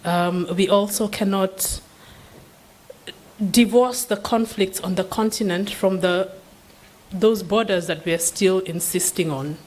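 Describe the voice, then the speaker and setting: A woman's voice: medium pitch, a little slow, a little mellow, rough recording. A woman speaking as a seminar panelist, giving an unscripted answer in an earnest, questioning way with frequent restarts. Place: seminar room.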